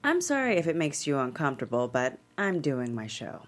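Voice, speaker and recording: Woman acting commanding talk show queen, animated, lower-pitched. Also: fairly quick. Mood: firm, guarded. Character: famous female talk show host, poised, commanding, playful. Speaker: actor at a script table read. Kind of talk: dramatic screenplay table read.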